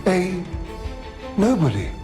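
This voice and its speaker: A slippery, cowardly male villain from a video game, talks in a raised voice with pompous, mock-polite flourishes. He takes his time over phrases and swings widely in pitch, with music playing behind him. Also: huge pitch swings, slow pace, heavily acted. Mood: smug, sneering. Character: slippery, pompous villain, cowardly self-serving schemer with genteel speech. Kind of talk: dramatic video game acting.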